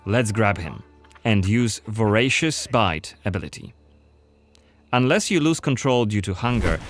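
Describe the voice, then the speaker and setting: A man narrating a scripted gameplay showcase as its presenter. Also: on the low side.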